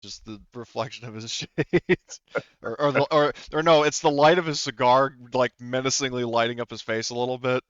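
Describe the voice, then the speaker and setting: Male game master, casual and explanatory, smooth delivery, dry but slightly muffled recording. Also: mid-range voice, steady tone, moderate pace, fairly bright tone, no echo. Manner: explanatory, hedging with frequent "like". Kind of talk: casual tabletop roleplaying talk.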